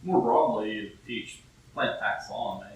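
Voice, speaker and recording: A man's voice: on the low side, normal speed, slight background noise. Man hosting in a very echoey space. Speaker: host and interviewer. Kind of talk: informal on-location video interview. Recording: very echoey, distant mic, faint music.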